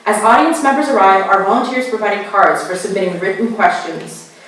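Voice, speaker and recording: Woman moderator, calm careful reading, big echoey hall, sounds far off. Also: medium pitch, slight background noise. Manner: slow, careful spelling out of web addresses. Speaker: forum moderator. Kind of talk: reading forum rules aloud. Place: college meeting room.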